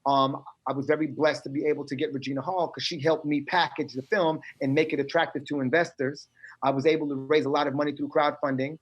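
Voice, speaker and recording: Middle-aged male guest with a slightly high voice, emphatic and streetwise in a podcast interview. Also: highs cut off. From United States. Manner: animated, emphatic, streetwise phrasing.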